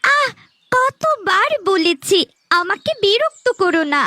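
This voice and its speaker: A spoiled little girl for a cartoon fairy tale. The voice is extremely high, bright and swooping, whiny and haughty. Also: wildly swooping pitch, moderate pace, no echo, full character voice. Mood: irritated. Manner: whiny, rude, pouting. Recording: faint background music.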